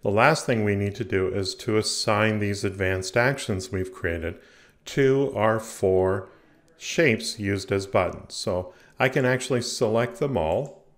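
A man giving a step-by-step software tutorial in a methodical, explanatory way. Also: fairly low pitch, a little slow. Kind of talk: step-by-step screencast tutorial narration. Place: indoor voice-over recording for a screen capture.

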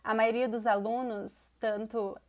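Enthusiastic Brazilian woman, slightly high voice. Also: level tone, a little slow. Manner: warm and enthusiastic, laughs often. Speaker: Portuguese teacher and interview guest. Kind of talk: casual interview conversation.

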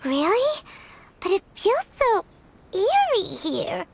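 A tiny squeaky fairy girl. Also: wildly swooping pitch, slow, creaky, a little hiss or hum, exaggerated voice. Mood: nervous. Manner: talks about herself in the third person, jittery. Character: tiny chirpy fairy girl companion, excitable and easily scared. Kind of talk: video game voice acting. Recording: game music behind.

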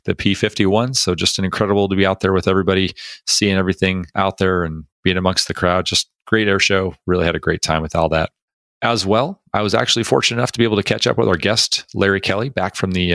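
Low-voiced upbeat podcast cohost man. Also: sharp tone, dry recording. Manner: upbeat, chatty, jokey. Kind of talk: podcast monologue and interview.

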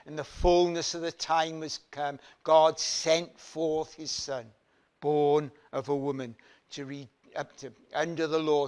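An older man from Wales preaching a sermon at a church lectern, building emphasis through repeated phrases. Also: a little high. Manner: slow, emphatic preaching with rising repeated phrases and hand gestures. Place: church hall, standing at a lectern.

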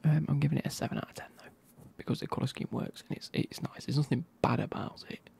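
A man, reviewing football kits in a soft, casual whisper with wry asides. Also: fairly high pitch, fairly even intonation, fast talker, creaky.

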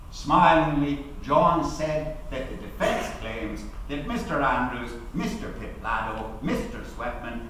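A man's voice: mid-range voice, fairly bright tone. An actor in his forties playing an eloquent, defiant labour activist of 1919 giving a formal, rhetorical defence speech in court. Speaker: actor giving a dramatic reading. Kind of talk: theatrical courtroom defence oration. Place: large hall, at a podium. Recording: echoey hall, distant mic, faint noise.

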